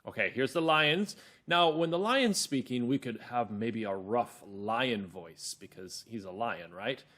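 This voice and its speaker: Guy teaching kids reading, slow careful storytelling, clear words, medium pitch. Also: animated, a bit bright. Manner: deliberate, instructional, clearly enunciated. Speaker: teacher, host. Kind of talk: children's reading lesson, storytelling.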